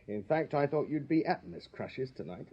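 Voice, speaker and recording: An actor playing a shrewd Victorian police sergeant in a radio drama, English, clipped and plain-spoken with a drily insolent deference. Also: male voice, mid-range voice, animated, normal speed, breathy edge. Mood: knowing, accusing. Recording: slightly dull radio recording.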